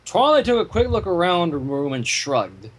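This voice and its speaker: Guy reading a story out loud, kinda husky, voice goes up and down a lot. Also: high voice, normal speed. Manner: expressive, reacts with exclamations to the story. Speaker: fan fiction reader. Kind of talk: dramatic story reading with asides.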